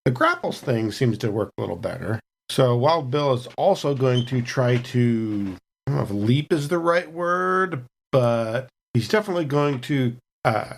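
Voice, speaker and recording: A man thinks aloud as a player at a tabletop game, his mid-pitched voice full of fry. He rambles in a casual, folksy way about what his character will do. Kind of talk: casual roleplay, describing his character's moves.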